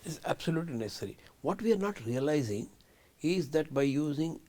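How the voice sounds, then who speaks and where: A man's voice: fairly high pitch, expressive, fairly quick, mellow. An older man, a guest scientist in a studio interview, arguing emphatically and asking rhetorical questions.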